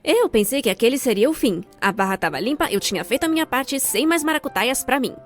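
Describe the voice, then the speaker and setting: Young brazilian lady doing funny storytime narration, really expressive swingy voice, music behind. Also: high voice, quick pace. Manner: theatrical, expressive, wry. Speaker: storyteller, fan-dub voice actor. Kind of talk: scripted comedic storytime narration.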